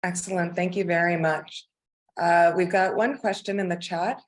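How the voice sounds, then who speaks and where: A woman moderates a candidate forum over a video call, introducing speakers and asking questions in a businesslike, reassuring way. Her voice sits somewhat low. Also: fairly even intonation, moderate pace.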